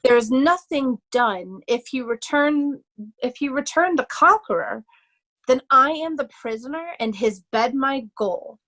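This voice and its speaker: A woman playing a loyal young nobleman disguised as a humble poor man, earnest and measured, in readers' theatre Shakespeare. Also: sits high, expressive, sharp tone, full character voice. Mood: reading aloud.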